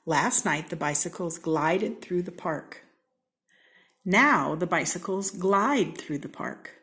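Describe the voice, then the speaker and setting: Casting: American woman, slightly low voice, unhurried and very animated, for children's picture-book read-alouds with playful emphasis. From Seattle, United States. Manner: theatrical, deliberate, with playful emphasis and whispered asides. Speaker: storybook reader.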